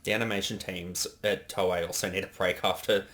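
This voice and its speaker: A man's voice: deep voice, fairly even intonation, a little fast, a bit bright. A man co-hosting a casual, conversational reaction chat. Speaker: co-host.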